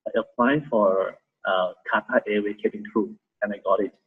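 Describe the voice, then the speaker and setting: A man in his thirties with a Malaysian accent, sharing his story as a volunteer in a halting way with many restarts. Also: lowish voice, fairly even intonation, husky, phone-quality sound. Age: 30s. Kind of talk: casual testimony sharing.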